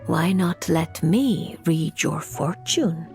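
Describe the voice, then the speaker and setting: A woman's voice: low-pitched, animated, slow, creaky, darkish tone, soft background music, heavily acted. Languid, aloof witch fortune-teller. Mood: aloof, amused. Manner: languid, formal, cryptic, dry. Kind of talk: video game voice acting.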